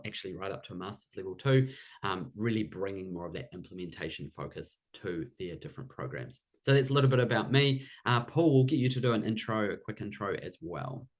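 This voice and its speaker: A man with a New Zealand accent hosting a live online workshop as a business coach in an encouraging way. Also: fairly low pitch.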